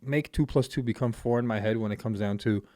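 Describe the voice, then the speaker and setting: A man who was born in Armenia, hosting a podcast and chatting casually in a blunt, profane, animated way. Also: medium pitch, fast talker, dry recording, studio quality. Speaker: podcast host. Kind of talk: casual chat.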